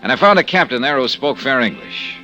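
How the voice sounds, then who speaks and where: An actor playing a wry, skeptical American investigator, narrating and talking in a 1950s radio drama. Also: male voice, mid-range voice, big pitch swings, brisk, lo-fi sound, natural voice. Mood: brisk, wry. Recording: vintage radio broadcast with music.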